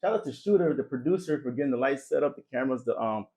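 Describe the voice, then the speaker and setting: A man's voice: animated, very fast, mellow, husky. A young man from Nigeria, talking upbeat and grateful as a podcast guest. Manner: upbeat, high-energy, grateful. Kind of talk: casual interview chat.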